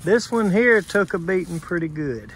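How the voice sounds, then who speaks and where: A man working as an HVAC technician, giving a plain, unhurried on-site explanation outdoors beside air conditioner units, recorded on a handheld phone camera. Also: high-pitched, lively intonation, a little hiss or hum, rough recording. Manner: plain, unhurried, points things out step by step.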